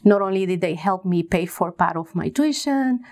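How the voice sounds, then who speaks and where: Lower-voiced woman from Puerto Rico. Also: lively intonation, moderate pace, a little mellow. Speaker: interview guest, business coach. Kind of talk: conversational interview answers.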